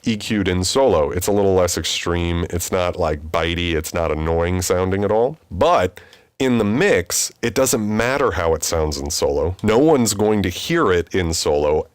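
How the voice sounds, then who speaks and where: A man in his thirties with a deep voice, casually explaining vocal mixing in a home studio tutorial. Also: moderate pace, smooth, dry recording. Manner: relaxed, conversational explaining with fillers. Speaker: music producer teaching mixing. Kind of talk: screen-recorded tutorial.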